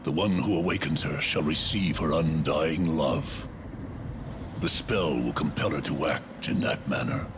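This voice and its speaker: A man's voice: rumbling low, measured pace, background noise. A man playing a stern, haughty older king in a fantasy game, imperious and formal. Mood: grudging resolve. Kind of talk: dramatic fantasy game acting.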